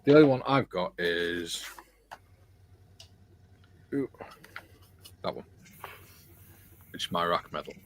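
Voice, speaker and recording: A man's voice: deep voice, animated, slow, long pauses, a little hiss or hum. A man hosting a casual stream, reading viewer comments aloud and teasing his co-hosts in a jokey way. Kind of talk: casual chat.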